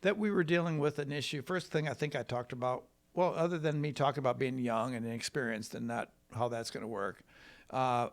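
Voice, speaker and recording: Halting American man with creaky voice. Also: medium pitch. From Wisconsin, United States. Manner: halting, rambling, folksy. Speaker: trial lawyer telling his story. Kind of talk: casual conversational storytelling.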